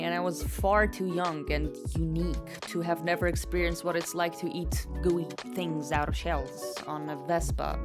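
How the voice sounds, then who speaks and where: A young woman from Egypt narrating a scripted vlog voice-over in a sardonic, self-deprecating, deadpan way. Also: lowish voice. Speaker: vlogger. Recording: voice-over with background music.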